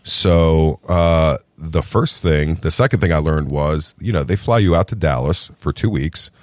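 A middle-aged man with a very low, deep voice tells his story in an easygoing, chatty way. The sound is dry and close, with no room around him. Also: measured pace, no room sound. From New Jersey. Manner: relaxed, chatty, calls the host "brother". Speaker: restaurant group founder, podcast guest. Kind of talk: casual interview storytelling.

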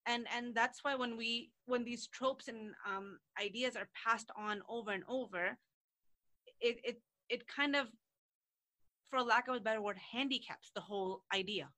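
A woman with a somewhat high voice speaks as a podcast guest. She talks hesitantly, restarting and repeating words as she works toward what she means. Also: moderate pace, sharp tone. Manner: hesitant, repeats words while searching for phrasing. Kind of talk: conversational podcast talk.

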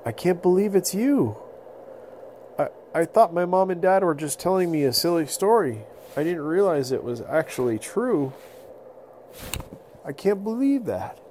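Man voicing an eager, high-voiced young woolly mammoth. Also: animated, measured pace, darkish tone, a little hiss or hum. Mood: amazed, welcoming. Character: young friendly woolly mammoth, excitable, full of wonder.

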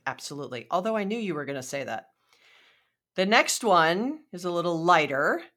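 A woman's voice: fairly low pitch, big pitch swings, moderate pace, fairly bright tone. A woman hosting her podcast, chatty and enthusiastic, with many fillers as she asks questions. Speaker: podcast host. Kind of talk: podcast interview.

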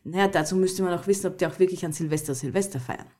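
A woman's voice: on the low side, rapid-fire. A woman co-hosting a podcast, reading out fun facts with plenty of giggling. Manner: giggly, addresses listeners warmly. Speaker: podcast co-host. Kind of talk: casual fun-facts chat.

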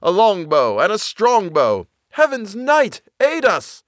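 Man crying out as fervent ghostly medieval bowmen, high-pitched. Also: huge pitch swings, slow pace, no room sound, studio quality, full character voice. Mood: fervent battle cry. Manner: loud, crying out in archaic exclamations. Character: host of ghostly medieval English bowmen, fervent war-cries. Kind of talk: storybook narration with acted voices.

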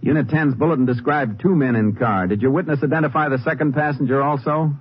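An actor playing a steady, businesslike Texas Ranger in a radio western, speaking in a terse, matter-of-fact way. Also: male voice, mid-range voice, fast talker, close to an everyday voice. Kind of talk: old-time radio western acting with narration. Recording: muffled, hissy old radio broadcast.

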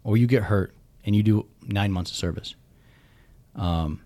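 A man's voice: on the low side, a little slow, dark tone. A man in his thirties, a military veteran, talking casually and emphatically, often repeating words as he thinks, his voice still worn from recently losing it. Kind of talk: casual podcast conversation.

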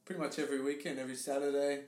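A man with an Australian accent, a guest telling his story in casual interview chat, relaxed and hesitant with many fillers. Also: mid-range voice, very fast. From Tasmania, Australia. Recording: roomy echo.

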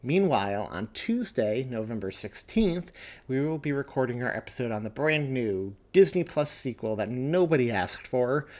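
A man's voice: mid-range voice, big pitch swings, moderate pace. A man hosting a podcast, giving chatty announcements and plugs to listeners. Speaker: podcast host.

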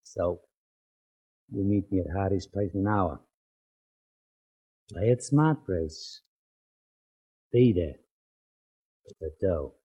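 Dark, gravel-low radio racketeer. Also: male voice, heavily acted. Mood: threatening. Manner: slangy, deliberate, threatening. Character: tough, menacing male racketeer, coolly self-assured. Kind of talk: 1940s radio crime drama acting. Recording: muffled old 1940s radio recording.

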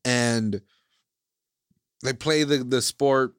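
A man from Iceland talks in a loose, meandering way, doubling back on his points and restarting his sentences. His voice sits at an ordinary pitch, and it is recorded close and dry with no room echo. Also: drawn-out words, fairly bright tone, smooth. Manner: rambling, circles back with "again" and "like". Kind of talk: casual chat.

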